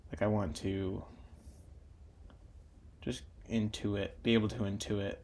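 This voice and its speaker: A man's voice: on the low side, little pitch movement, takes it slowly, gravelly, darkish tone, a little hiss or hum. A young man talking casually while drawing for his own video channel, meandering with many fillers and restarts. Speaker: drawing YouTuber.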